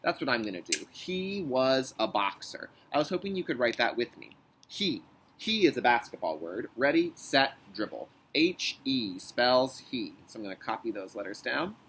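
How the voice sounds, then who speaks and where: A man with a slightly rough, husky voice speaks as a teacher to young children. His pitch moves in a very lively way as he reads aloud and explains, with deliberate, instructive wording. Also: poor audio. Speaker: kindergarten teacher.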